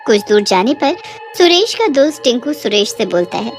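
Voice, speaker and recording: A woman's voice: on the high side, very animated, moderate pace, soft background music. A woman narrating a scripted cartoon horror bedtime story.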